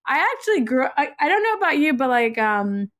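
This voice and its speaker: Chatty podcast host woman, higher voice. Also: sing-song, a little fast, fairly bright tone. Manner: chatty, lots of "like" fillers. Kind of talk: casual interview chat.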